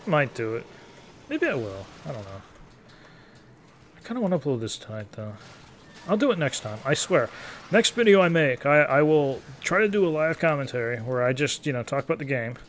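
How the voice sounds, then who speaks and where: A man's voice: mid-range voice, sing-song, brisk, slight background noise. A man in his thirties giving casual, rambling gameplay commentary as a YouTuber, in an easygoing, take-it-or-leave-it manner. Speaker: gaming YouTuber.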